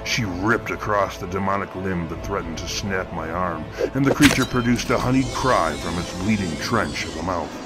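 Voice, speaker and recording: An actor playing a grim, eloquent killer who narrates horror fiction in a measured, florid, theatrical manner. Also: male voice, low voice, lightly acted. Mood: grim relish. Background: music.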